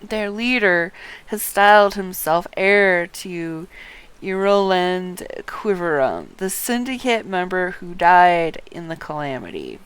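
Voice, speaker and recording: A woman's voice: lowish voice, lively intonation, slow pace, smooth, rough recording. A woman streaming a game walkthrough, reading quest text aloud and casually muttering to herself as she plays.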